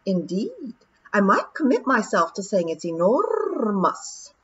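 A woman voicing a pompous, long-winded male pixie, measured and drawn-out. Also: mid-range voice, huge pitch swings, slight background noise, voice pushed up. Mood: ponderous. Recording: dull lo-fi audiobook recording.

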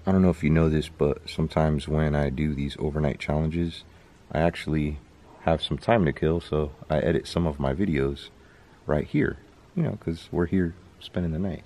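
A man in his thirties hosting a vlog, chatting to the camera and reading off viewer names while exploring a dark abandoned stadium at night. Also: extremely low pitch, fairly even intonation, normal speed. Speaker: YouTube host. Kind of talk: casual vlog narration. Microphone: handheld camera held close.

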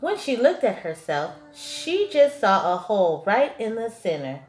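A young woman reading a children's picture book aloud in an animated, emphatic way, as a teacher would to small children. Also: medium pitch, unhurried, echoey room, soft background music. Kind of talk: expressive children's storybook read-aloud. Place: small classroom-like room, indoors.

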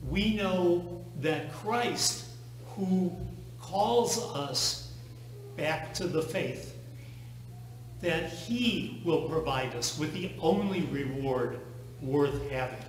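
Slow-preaching priest in an echoing church. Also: male voice, fairly high pitch, lively intonation, slight background noise. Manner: measured preaching with slow, deliberate pauses. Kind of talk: sermon. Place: large church sanctuary. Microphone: far camera. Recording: echoey church from far off.

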